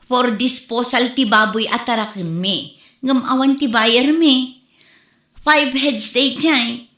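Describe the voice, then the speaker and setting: A woman's voice: fairly high pitch, fairly bright tone. A woman with a Filipino accent playing a practical, wary village farm wife in an audio drama. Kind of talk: amateur audio drama dialogue. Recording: roomy echo.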